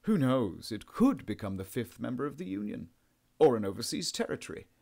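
A man's voice: medium pitch, big pitch swings, fairly quick, creaky, dark tone. A man voicing an amiable, pompous late middle-aged governor with a posh Southern English accent in an audiobook. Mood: measured, assured.

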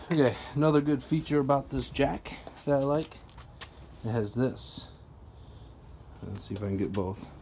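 A man casually explaining a tool setup while working with his hands, chatty and relaxed with plenty of ums. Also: fairly low pitch, slight background noise. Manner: relaxed, chatty, lots of ums and you-knows. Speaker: product reviewer. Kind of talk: casual how-to narration.

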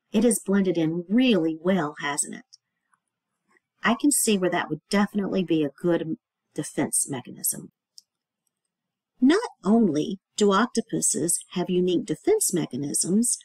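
A woman reading aloud to young children as a teacher, in an expressive way, adding simple asides and checking questions. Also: medium pitch, slightly rough, no echo.

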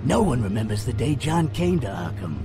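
A man's voice: medium pitch, sing-song, a little fast, music in the background. An actor playing a timid, nervous older male asylum inmate in a game, stammering and evasive.

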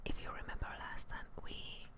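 A woman whispering hushed, unhurried ASMR commentary over gameplay, close to a desk microphone. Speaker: gaming streamer. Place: indoors, close to the mic. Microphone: close desk microphone on a streaming setup.

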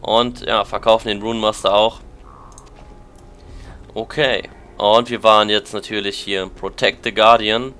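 A man's voice: on the low side, little pitch movement, a little slow, bright, forceful tone, clear, resonant tone. A German man with a lingering cold, chatting casually as he plays and comments on a game, thinking aloud. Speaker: let's play commentator.

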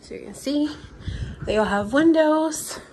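A young woman from Puerto Rico, gushing with excitement as she films a tour of her new empty house on a handheld phone. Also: high-pitched, unhurried, a little hiss or hum. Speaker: vlogger. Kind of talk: home tour vlog. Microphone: handheld phone camera.

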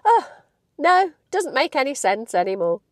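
Croaky chatty woman in her fifties. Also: high voice, unhurried, no room sound, studio quality. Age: 50s. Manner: chatty, animated, laughs often. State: croaky voice from a cold. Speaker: vlogger. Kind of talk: casual talk to camera. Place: parked car, indoors. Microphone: lapel mic.

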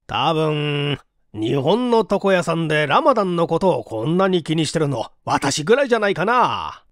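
Man voicing a chatty, very animated barber. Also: fairly high pitch, moderate pace, no room sound, clean studio sound. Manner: talkative, conversational storytelling. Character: chatty, amusing neighborhood barber making small talk. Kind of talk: audiobook character dialogue.

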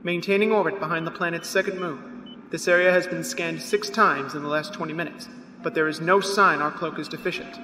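Man acting a brisk, bright, literal-minded crewman. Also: a little high, steady tone. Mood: matter-of-fact. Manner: formal, precise, matter-of-fact. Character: literal-minded, unemotional male artificial crewman. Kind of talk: audio drama acting. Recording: echoey reverberant spaceship ambience noise.

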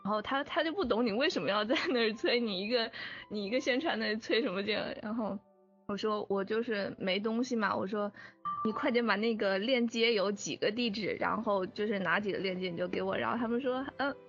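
A woman's voice: mid-range voice, fairly quick, faint music behind. Woman chatting over dull lo-fi audio. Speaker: drama production staff member. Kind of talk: casual group chat.

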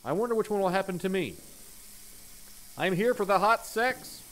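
A man's voice: sits high, animated, moderate pace, a bit bright, a little hiss or hum. A man in his thirties giving crude, playful, exaggerated joking commentary as he streams a horror game.

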